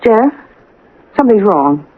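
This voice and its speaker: An actress playing an anxious, proper small-town wife, fretful and questioning, in a radio drama. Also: female voice, mid-range voice, big pitch swings, unhurried. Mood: chiding. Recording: narrow, lo-fi old radio broadcast.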